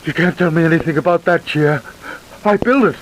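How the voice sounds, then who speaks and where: Male actor as doomed prisoner, high voice, bitter, near breaking, old radio crime drama, faint hiss. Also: fairly quick, lightly acted, poor audio. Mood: bitter, unravelling. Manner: emphatic, repeating, bitter. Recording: hissy old radio recording.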